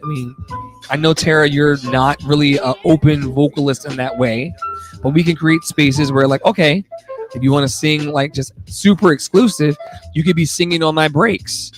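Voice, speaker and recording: Male singer-host, lighter high-ish register, loose profane livestream chatter, effusive and slangy, some music in the mix. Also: fairly even intonation, moderate pace. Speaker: singer hosting a live audio room show. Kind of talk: casual, rambling livestream chat.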